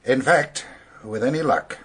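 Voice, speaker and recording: An actor playing a calm, confident police detective in charge in a radio drama, authoritative and matter-of-fact, giving clipped orders. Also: male voice, mid-range voice, unhurried, breathy edge. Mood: confident briefing.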